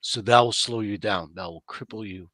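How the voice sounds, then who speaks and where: A man whose first language is Arabic, explaining his thoughts calmly on a podcast and often checking in with "right?". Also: lowish voice, moderate pace, no room sound. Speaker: podcast panelist. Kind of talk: casual podcast chat.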